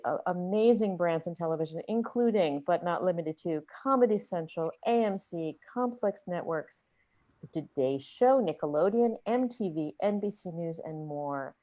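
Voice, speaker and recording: Woman, lowish mellow voice, dry sound, introducing the guest host of a webinar. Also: expressive, moderate pace, no echo.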